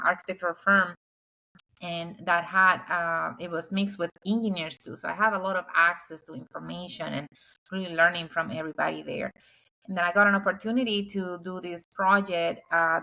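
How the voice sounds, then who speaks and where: A woman's voice: lowish voice, gravelly, pushed and bright, low-quality recording. A middle-aged woman from the Dominican Republic whose first language is Spanish, a guest architect answering casually over a video call with lots of fillers. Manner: chatty, frequent fillers.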